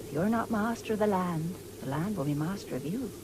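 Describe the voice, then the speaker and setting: A woman's voice: on the low side, animated, fairly quick, lightly acted. An actress playing a proud, firm, plain-spoken English farm wife and mother in a radio drama. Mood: bitter, impassioned. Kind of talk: 1970s British radio drama acting. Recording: noisy old radio broadcast recording.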